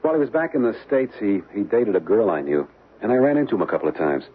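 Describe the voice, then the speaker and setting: An actor playing an easygoing, skeptical press photographer and teasing husband in a radio mystery, with husky, slangy, halting speech. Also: male voice, fairly low pitch, very animated. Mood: casual, impatient. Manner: slangy, casual, halting phrases. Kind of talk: radio mystery drama acting. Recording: thin phone-like old radio recording.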